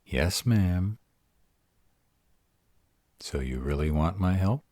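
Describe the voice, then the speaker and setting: A very low, rough-edged young male vampire, acted by a man, sarcastic and wounded underneath. He drawls slowly, with his pitch swinging about as in an audio roleplay. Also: expressive, warm tone, no room sound. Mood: meek.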